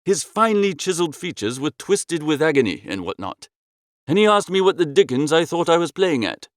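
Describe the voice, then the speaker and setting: A man narrating a comic first-person audiobook in a breezy, theatrical, chatty gentlemanly style. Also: fairly high pitch, big pitch swings, a little fast, no echo, clean studio sound.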